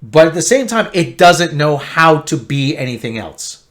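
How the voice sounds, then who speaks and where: A man with a somewhat high-pitched voice rants through a TV review. He talks emphatically and repeats his points. He swings between loud outbursts and low, muttered grumbling. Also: sing-song, normal speed. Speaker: online pop-culture commentator. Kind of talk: opinionated TV review rant.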